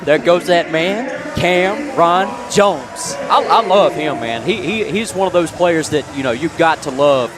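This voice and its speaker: A man's voice: fairly high pitch, lively intonation, unhurried, hardly any pauses, over music. A man giving casual, folksy basketball color commentary on a live radio broadcast. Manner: folksy, conversational, peppered with "man". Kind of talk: casual pregame analysis. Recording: noisy gym ambience behind live broadcast.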